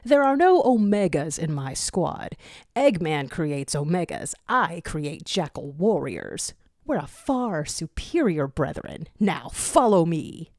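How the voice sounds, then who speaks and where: A woman's voice: sits low, sing-song, takes it slowly, darkish tone, no room sound, studio quality, heavily acted. A woman voicing a smug, scheming male jackal commander with a self-assured, grandiose manner in an audio story. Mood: confident, commanding. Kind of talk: fan fiction audio story reading.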